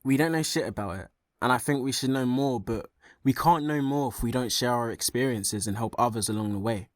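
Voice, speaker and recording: Actor as disillusioned young man, blunt, defensive, guarded, medium pitch, dry recording, radio play. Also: fairly quick, no echo, natural voice.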